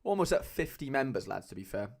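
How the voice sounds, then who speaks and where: A young man with a Cardiff accent chatting casually as a livestream host, loose and rambling with lots of fillers, speaking into a mic on a boom arm at home. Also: big pitch swings, quick pace, creaky, slightly rough. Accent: Cardiff Welsh. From Wales. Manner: chatty, loose, many fillers, calls viewers lads.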